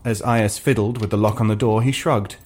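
A man's voice: on the low side, steady tone, brisk, a little mellow, husky. A man reading scripted fiction as narrator, in a dramatic comic storytelling style. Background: ambient sound effects.

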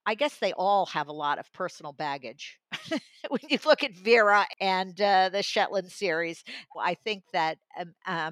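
A woman reviews audiobooks in relaxed conversation. Her voice sits somewhat low and she takes her time, speaking slowly. The sound is close and dry. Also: dry recording. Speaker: audiobook reviewer and regular guest. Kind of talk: conversational book discussion.

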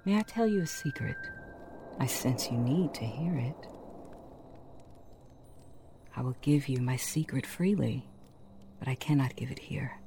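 A mysterious, gentle forest storyteller played by a woman speaks in a very deep female voice, hushed and breathy. She takes her time, secretive and inviting, pausing deliberately as in an audio drama fairytale. Music plays softly behind her dark, mellow tone. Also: animated, slight background noise, heavily acted. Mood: intimate, inviting. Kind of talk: slow scripted fairytale narration.

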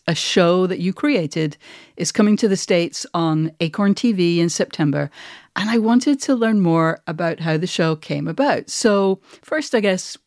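Low-voiced woman hosting a podcast, dry sound. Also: a little mellow, dry recording. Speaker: podcast host, interviewer. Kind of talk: conversational podcast presenting.

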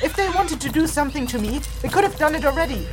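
Stern high-pitched mage over music. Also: female voice, animated, quick pace. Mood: dry, dismissive. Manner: brusque, dismissive, lecturing. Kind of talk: immersive fantasy audio drama acting.

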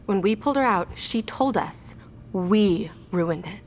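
A woman's voice: mid-range voice, lively intonation, a little slow, slight background noise. An actress playing a worried, challenging woman in an audio drama, questioning and insistent.

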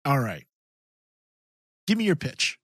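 Slow-paced man with big pitch swings. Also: wildly swooping pitch, fairly bright tone, husky, no room sound, studio quality. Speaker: podcast co-host. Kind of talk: casual fan podcast banter.

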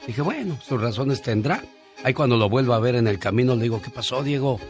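A man's voice: mid-range voice, lively intonation, fairly quick. A man hosting a radio show in a casual, informal chat, telling things as anecdotes. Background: music bed.

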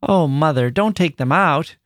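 A man's voice: medium pitch, animated, measured pace, darkish tone, no echo, clean studio sound, pitched above the performer's own voice, close to an everyday voice. A man voicing a dreamy, eager little girl in an audiobook, with a rapturous childlike manner and dialect turns of phrase. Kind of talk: literary audiobook character voice.

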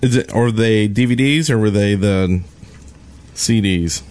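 A man's voice: on the low side, measured pace, a little mellow. A man co-hosting a gaming podcast in casual, bantering conversation. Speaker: podcast co-host.

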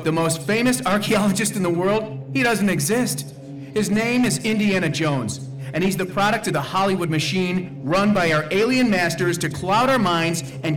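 Male actor as self-important conspiracy crank for audio drama. Highish voice, emphatic, indignant, forever preaching. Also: background noise, natural voice. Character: ranting, paranoid male conspiracy theorist, excitable and self-important. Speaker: conspiracy theorist and public speaker. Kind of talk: radio drama acting.

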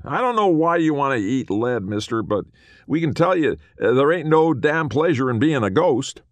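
A man voicing a small, hot-tempered ghost outlaw who shouts and swears in a shrill, high voice. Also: big pitch swings, moderate pace, no room sound, natural voice. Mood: indignant. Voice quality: shrill, shouting, curses constantly.

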